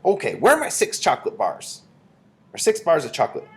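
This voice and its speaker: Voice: mid-range voice, wildly swooping pitch, brisk, voice pushed up. A performer voicing a greedy, demanding small boy in a storybook, blunt and pushy. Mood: impatient. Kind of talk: storybook character voice.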